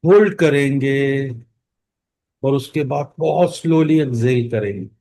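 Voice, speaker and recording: An Indian man leading a guided meditation as instructor, speaking calmly in short phrases with long pauses between them. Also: medium pitch. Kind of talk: guided meditation, mixing Hindi and English.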